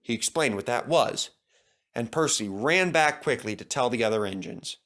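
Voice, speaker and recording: A man's voice: mid-range voice, lively intonation, moderate pace, fairly bright tone. A man reading a scripted children's story as its narrator.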